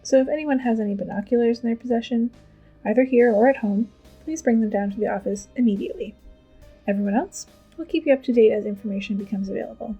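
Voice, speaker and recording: A take-charge teenage schoolgirl in an audio drama. Her voice is high but soft-edged and husky, and she stays deadpan and decisive. Faint music sits behind her. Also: fast, highs cut off. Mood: matter-of-fact. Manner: matter-of-fact, deadpan. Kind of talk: audio drama, understated dialogue.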